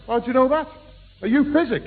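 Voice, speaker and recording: A man playing a slow-witted, grumbling young fellow who blusters and muddles his words in a 1960s British radio sitcom. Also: extremely high pitch, noisy background. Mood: indignant, baffled. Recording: thin, tinny old radio recording.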